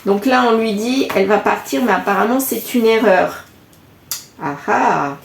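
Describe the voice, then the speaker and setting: A woman's voice: mid-range voice, measured pace, gravelly, a little hiss or hum. A woman, giving a chatty card reading with lots of rambling self-corrections. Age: 50s. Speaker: card reader. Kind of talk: casual tarot reading monologue. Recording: echoey room.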